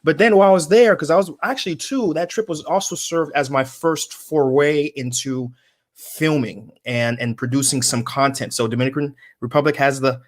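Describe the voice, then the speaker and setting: A man's voice: fairly high pitch, normal speed. A man hosting an interview show, talking casually and rambling with many restarts. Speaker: podcast host.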